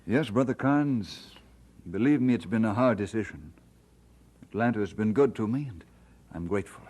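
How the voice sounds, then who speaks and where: An actor playing an earnest, devoted minister and husband in an old-time radio drama, speaking in a measured, sincere way. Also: male voice, on the low side, expressive, a little mellow. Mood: sincere, grave.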